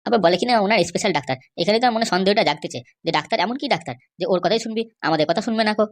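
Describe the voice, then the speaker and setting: A woman's voice: mid-range voice, racing, lightly acted. Woman as a sly, rattling cartoon lackey. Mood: scheming, gossipy. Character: sly male cartoon schemer and bribe-seeking jail constable. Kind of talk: amateur cartoon comedy dubbing.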